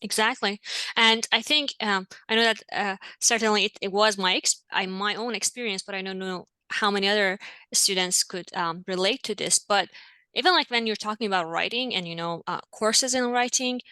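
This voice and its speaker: Bright-voiced woman, native Farsi speaker. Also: mid-range voice, moderate pace, smooth, no echo. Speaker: interviewer, professor. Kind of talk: conversational online interview.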